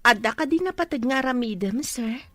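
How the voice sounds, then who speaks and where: A woman performs a humble young pregnant woman from a poor background in a radio drama. Her voice sits high and is heard close, with no room sound. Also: animated, moderate pace, bright, forceful tone, no room sound, soft background music. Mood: shy, light. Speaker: voice actor in an audio drama. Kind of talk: Filipino radio-drama acting in Ilocano.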